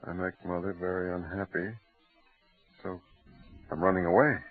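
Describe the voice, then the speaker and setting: A low, rough-edged voice of a kindly father, soothing and theatrical in old radio drama style. The recording is tinny and narrow. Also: steady tone, normal speed, soft background music. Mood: puzzled, subdued. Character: gentle, reassuring middle-aged father and husband. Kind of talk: mid-century radio drama acting.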